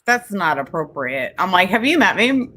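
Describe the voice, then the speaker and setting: A woman with a New Jersey accent chats bluntly as a co-host, swearing freely and laughing a lot. Her voice is bright and forceful. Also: lowish voice, big pitch swings, normal speed. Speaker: podcast co-host. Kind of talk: casual chat.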